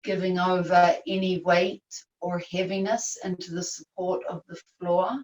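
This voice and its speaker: Female yoga nidra teacher, lowish voice, soothing guided meditation, very unhurried delivery, recorded in an echoey room. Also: little pitch movement, a little mellow. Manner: soothing, unhurried, long pauses. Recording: echoey room, distant microphone.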